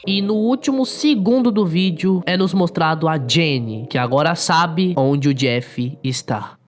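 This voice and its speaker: A woman's voice: low voice, measured pace, faint music behind. A young adult woman with a Brazilian accent narrating a horror story recap in an informal storytelling manner. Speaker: video narrator.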